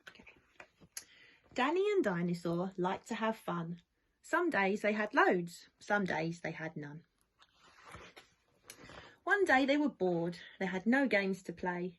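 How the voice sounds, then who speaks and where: A woman reading a rhyming children's storybook aloud in an expressive, lilting way, as school staff do for young pupils. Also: medium pitch, slow pace, long pauses. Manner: animated, lilting rhyming delivery.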